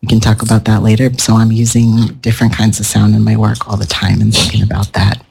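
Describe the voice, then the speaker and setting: A man's voice: fairly low pitch, monotone, fast, creaky, noisy background. A man speaking as a panelist to a live audience, thoughtful and rambling, often restarting his sentences. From United States. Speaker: panelist, somatic therapist. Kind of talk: casual panel talk. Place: event room with a live audience.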